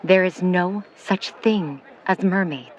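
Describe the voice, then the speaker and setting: A woman playing a skeptical, worried middle-aged mother in a 1950s period audio drama, speaking in a proper, measured way. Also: lowish voice, a little mellow. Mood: skeptical, stern. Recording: dull, noisy recording with ambience.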